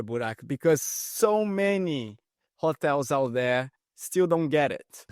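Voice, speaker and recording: A man's voice: medium pitch, clean tone, dry recording, clean studio sound. A man hosting a hospitality podcast, introducing his guest and asking questions in an eager, emphatic way. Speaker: podcast host. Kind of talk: interview hosting.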